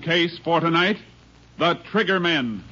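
Old time radio announcer guy, formal, highish voice, dull audio, big loud music behind. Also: expressive, measured pace.

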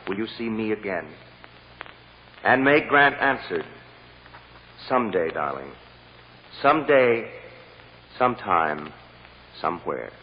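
An actor playing an eloquent, protective defense lawyer in a 1940s radio drama, solemn and measured with dramatic pauses. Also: male voice, medium pitch. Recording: muffled noisy old radio, reverberant hall.

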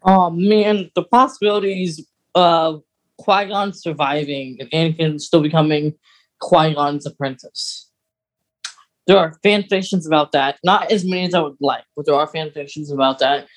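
A podcast co-host in casual fan chat, geeky and halting, getting animated when amused. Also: medium pitch, rough recording. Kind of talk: casual fan chat about film lore.

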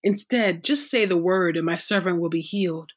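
A woman's voice: on the low side, normal speed, breathy edge. A woman reading as a humble soldier. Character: Roman centurion, a humble grown man.

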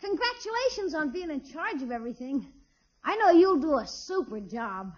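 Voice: high-pitched, very animated, moderate pace. A performer voicing a cheeky, wisecracking young American boy in a 1950s radio sitcom. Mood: wheedling. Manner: slangy, wisecracking. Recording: dull vintage 1950s radio recording.

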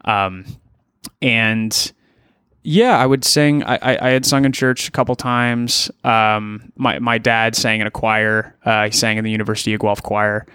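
A man's voice: fairly low pitch, fairly even intonation, unhurried, bright, forceful tone, clean tone, no room sound, clean studio sound. A young man from Ontario, a musician guest on a podcast, rambling and hesitant with many restarts. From Ontario, Canada. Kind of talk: casual podcast interview.

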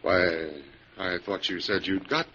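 Hearty businessman in an old radio play, husky bright voice, bluff, muffled recording. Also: low-pitched, fairly even intonation, slow pace, exaggerated voice. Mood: businesslike. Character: bluff, businesslike mill boss. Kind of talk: 1940s radio acting.

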